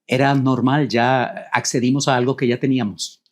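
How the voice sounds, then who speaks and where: A middle-aged man from Rico, a guest telling his life story in a reflective, measured way. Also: medium pitch, flat delivery. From Puerto Rico. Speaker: guest, co-author. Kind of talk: personal storytelling in conversation.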